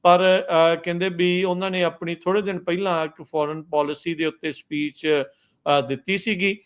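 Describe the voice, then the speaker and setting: A man presents radio news as a host. He comments conversationally and haltingly, dropping English words into his sentences. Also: high voice, steady tone, clean tone.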